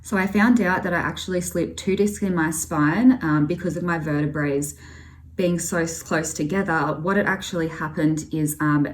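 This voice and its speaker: Low-voiced young Australian woman. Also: slight background noise. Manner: candid, earnest, with fillers. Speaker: vlogger. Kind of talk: personal health story vlog.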